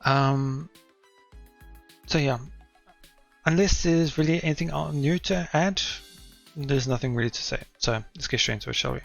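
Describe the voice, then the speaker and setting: A man with an average-pitched voice, slightly hoarse from an irritated throat, chatting casually as he hosts a game playthrough. He rambles and corrects himself out loud as he goes, with faint music playing behind him. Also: normal speed, long pauses, dull audio. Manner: rambling, second-guesses himself aloud. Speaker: let's play host. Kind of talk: casual gameplay commentary.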